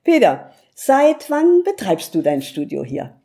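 A woman interviewer with a mellow, relaxed tone at middle pitch. She talks conversationally, as in a radio interview, and is enthusiastic and full of compliments toward her guest. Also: a little slow. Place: home recording studio indoors.

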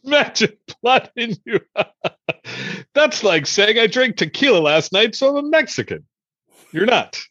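A man playing a breezy, teasing wizard official in an improvised comedy sketch, with a husky voice and a drawn-out delivery. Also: high-pitched, sing-song, pushed and bright, dry recording, dull audio, heavily acted. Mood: mocking, amused.

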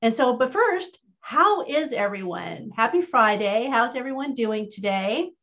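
A woman's voice: fairly high pitch, lively intonation, a little slow, fairly bright tone. A woman hosting a casual online training chat, upbeat and chatty, often putting questions to her audience. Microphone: video call. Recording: muffled lo-fi call audio.

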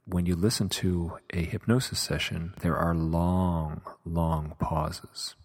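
A man with a heavy cold that makes his voice much deeper than usual, explaining things in a measured, plain way. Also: steady tone, breathy edge, big-room sound. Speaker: podcaster introducing edited audio.

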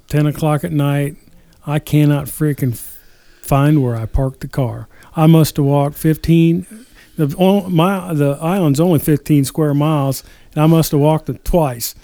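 A middle-aged man recounts a mishap in relaxed chat. His voice is mellow and slightly high, with a plainspoken, exasperated tone. The sound is close and dry. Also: no echo. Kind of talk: casual chat and anecdote.

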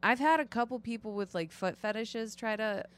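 A woman's voice: on the high side, normal speed, smooth. A young woman from the Chicago suburbs chatting casually on a podcast, swearing often and filling her talk with fillers. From Chicago North Shore suburbs.

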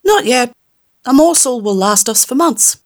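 A woman's voice: fairly high pitch, animated, a little slow, voice pushed up. A woman voicing a tiny rude immortal fairy, crude and impatient. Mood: cranky, cheeky.

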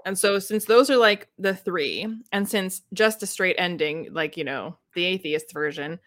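A woman's voice: lowish voice, normal speed, fairly bright tone, no room sound, studio quality. A woman co-hosting a podcast in a casual book discussion, talking in a wry, analytical, conversational way. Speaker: podcast co-host.

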